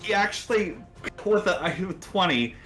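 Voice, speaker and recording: A man's voice: high voice, animated, unhurried, over loud music. A man, casually narrating and refereeing a tabletop fantasy game in a conversational, encouraging way. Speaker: game master and show host.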